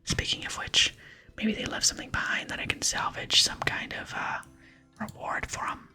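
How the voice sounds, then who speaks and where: An earnest, naive fantasy game adventurer, read by a woman, speaks in a puzzled way. The voice is a bright, very breathy whisper with music underneath. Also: normal speed. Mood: self-talking.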